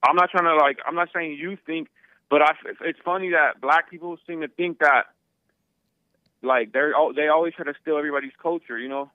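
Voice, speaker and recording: A man's voice: fairly high pitch, fairly even intonation, a little fast, no echo. A man calling in to a talk show, arguing insistently and repeating himself as he stumbles over words. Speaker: caller. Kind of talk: phone-in argument. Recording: tinny phone line.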